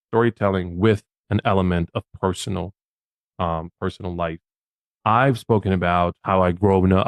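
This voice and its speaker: A man's voice: low voice, creaky, dry recording. A man from Bosnia, a podcast guest, gives social media advice in a casual, emphatic way and often repeats his words.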